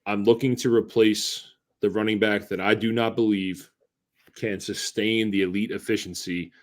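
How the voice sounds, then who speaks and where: A man's voice: fairly low pitch, little pitch movement, normal speed. A man co-hosting a casual sports podcast, making his points emphatically and repeating them for stress. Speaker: podcast co-host.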